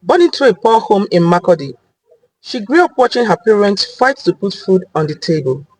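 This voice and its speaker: A woman narrating a scripted story in a dramatic, deliberate, expressive way. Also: mid-range voice, husky, no echo, poor audio.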